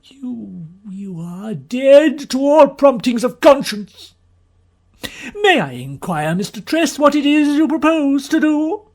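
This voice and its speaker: A man's voice: very high-pitched, sing-song, slow, voice pushed up, full character voice. A man voicing an irritable, easily outraged man in an audiobook story, with emphatic, spluttering speech that repeats itself. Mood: indignant, accusing. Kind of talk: dramatised audiobook character reading.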